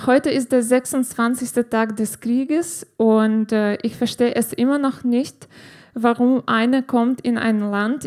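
A woman in her thirties from Ukraine, with a Ukrainian accent, doing a stand-up set on a club stage into a handheld mic, halting and restarting as she searches for words. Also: a little high, darkish tone. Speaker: stand-up comedian. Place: comedy club stage with live audience.

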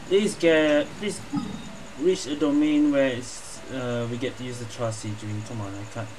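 A woman's voice: rumbling low, darkish tone, a little hiss or hum, rough recording. A woman giving casual game commentary, thinking aloud somewhat hesitantly. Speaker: gameplay streamer. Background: game music.

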